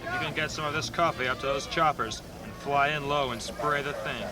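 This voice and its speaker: A man's voice: mid-range voice, fairly quick, distant mic, natural voice. A man playing a gloomy, earnest expert in a melodramatic radio play, grave and portentous.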